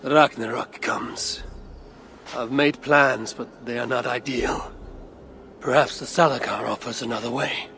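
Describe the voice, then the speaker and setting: A man playing a grave, brooding older Norse god in a video game cutscene, speaking in a measured, sometimes hushed way. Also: medium pitch, steady tone, gravelly, slight background noise. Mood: resolute.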